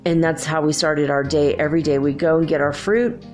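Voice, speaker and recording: A woman's voice: low-pitched, steady tone, brisk, a little mellow, soft background music. A woman hosting a solo podcast, talking in a rambling, chatty way while congested. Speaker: podcast host. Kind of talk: casual solo podcast chat.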